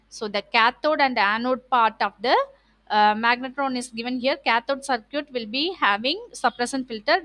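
A woman's voice: sits high, bright, forceful tone, no echo. A woman lecturer with a Tamil Indian accent, explaining slides in an online class, reading points and then rephrasing them with frequent checking words.

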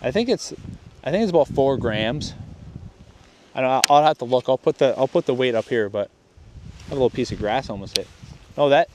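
A young man talks loosely to the camera while testing a fishing reel outdoors by a lake. His voice sits in an everyday middle pitch. He rambles, restarts his thoughts and trails off. Faint outdoor noise from wind and open air sits under the voice. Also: animated, brisk, long pauses. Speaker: fishing video host. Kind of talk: casual outdoor gear-test commentary. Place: outdoors on a lakeshore. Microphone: chest-mounted camera.

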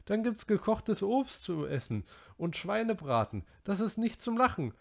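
A man voicing a chubby, anxious schoolboy who pleads in a high, whiny, breathless way. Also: sing-song, a little slow, vocal fry, darkish tone, heavily acted. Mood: indignant.

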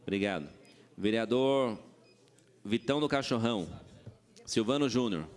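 A mid-pitched man with a São Paulo accent runs a council session. He reads items and roll calls briskly and plainly. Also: expressive. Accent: Brazilian Portuguese, São Paulo state. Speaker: council president chairing session. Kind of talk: procedural chairing. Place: council chamber.

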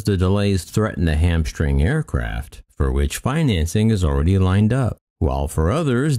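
Man reading aviation news, lowish voice, mellow tone, lively pitch. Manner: reads news reports aloud with occasional asides. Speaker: aviation channel narrator. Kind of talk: scripted news narration read over footage.

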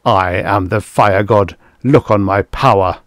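A man's voice: lowish voice, very animated, slow pace, darkish tone, dry recording, studio quality. A man voicing a proud, wrathful fire god in a science fiction story, making grandiose, thundering declarations.

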